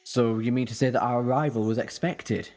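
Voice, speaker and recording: A man playing an eccentric, quick and cheeky alien time traveller in a fan sci-fi audio drama, with a chatty, flippant manner. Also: medium pitch, steady tone, darkish tone, a little hiss or hum, close to an everyday voice. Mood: alarmed, demanding.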